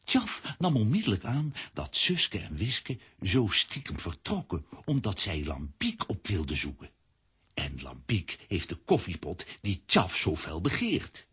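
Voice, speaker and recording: A man with a raspy voice giving scripted, dramatic narration for a children's audio drama. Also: fairly low pitch, a bit bright, no room sound, clean studio sound.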